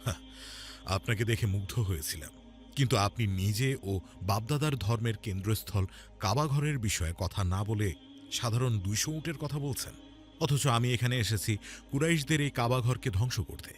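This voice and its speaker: A man's voice: mid-range voice, moderate pace, faint music behind, close to an everyday voice. A man voicing a haughty invading warlord in a cartoon story, mocking and boastful in manner. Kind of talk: acted quote in cartoon storytelling.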